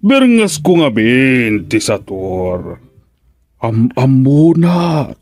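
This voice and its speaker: A man's voice: medium pitch, sing-song, extremely slow, darkish tone, heavily acted. A man with a Filipino accent acting a jovial, teasing old friend with a playful drawl in a radio comedy.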